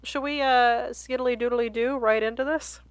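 A woman's voice: a little high, fairly even intonation, moderate pace, dry recording. An American woman co-hosting a podcast, bantering casually with wry, playful, sweary humor. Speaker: podcast co-host.

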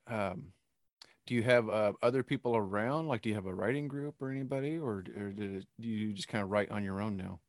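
A man's voice: fairly low pitch, fairly quick, dry recording, clean studio sound. A man hosting a casual interview, agreeable and informal, feeling his way through his questions. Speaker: podcast host.

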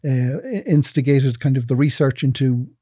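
A man in his fifties, from England, answers at length in an interview. His voice is warm and dark, recorded close with no room sound. He explains things in an articulate, measured way. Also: medium pitch, slightly rough, no room sound. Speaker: broadcasting executive guest.

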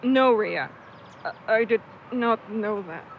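An actress playing a sassy, easily offended young friend in an audio drama, with a theatrical, snippy delivery. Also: female voice, fairly high pitch, slow, muffled recording, a little hiss or hum. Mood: snippy, indignant. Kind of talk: naturalistic audio drama acting.

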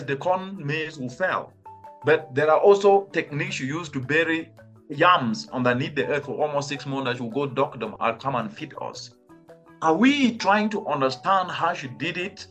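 A man from Cameroon speaks in a fairly high voice for a man, making his case with earnest rhetorical questions and sharp rises in emphasis. Faint music sits underneath the speech. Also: expressive, normal speed. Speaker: guest, climate change expert. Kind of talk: podcast interview answers.

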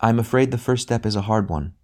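A man voicing a gentle, patient spirit. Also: fairly low pitch, pitched above the performer's own voice, natural voice. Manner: soothing, calm, measured. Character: gentle, patient bright spirit, a grown brother.

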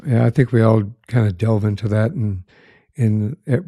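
A middle-aged man hosts a conversational podcast, friendly and a little rambling. His voice sits low and mellow, with a gravelly, slightly husky grain. The sound is dry and close. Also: moderate pace, no room sound. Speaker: podcast host. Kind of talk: conversational interview.

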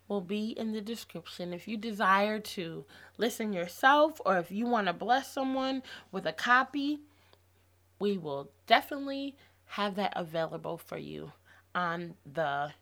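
A woman in her thirties hosts a faith-based podcast, her voice hoarse and gravelly from losing it. She talks to her listeners in an upbeat, encouraging way at a middle pitch. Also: fairly bright tone. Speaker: podcast host.

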